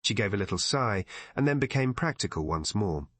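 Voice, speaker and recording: A male narrator reads story prose in a fairly low voice. His delivery is very expressive in pitch, and the recording is dry and close. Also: fairly quick, dry recording. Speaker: audiobook narrator.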